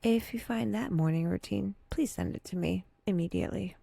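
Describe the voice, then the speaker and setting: A woman softly leading a guided meditation in a breathy, intimate voice that often dips toward a whisper, unhurried with long pauses and gentle wry asides. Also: medium pitch, no room sound.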